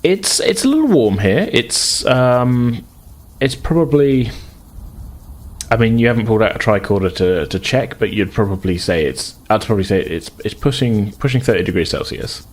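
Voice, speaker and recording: A man improvising narration as game master of a tabletop role-playing game, halting and rephrasing as he describes. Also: on the low side, expressive.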